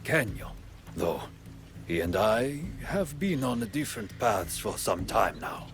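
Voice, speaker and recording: An actor playing a grave, regretful older religious leader in a video game, speaking in a measured, solemn way. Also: male voice, fairly low pitch, big pitch swings, faint music behind.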